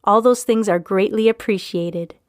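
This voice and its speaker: A woman's voice: medium pitch, moderate pace, no echo, clean studio sound. A woman hosting and narrating a scripted serial story podcast.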